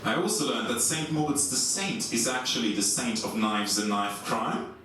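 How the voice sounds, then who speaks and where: A young Swiss man with a German accent, doing a stand-up set in a comedy club with a dry, deadpan delivery. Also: normal speed, far from the mic. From Switzerland. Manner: dry, deadpan delivery with lively swings in pitch. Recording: echoey club recorded from afar, audience noise.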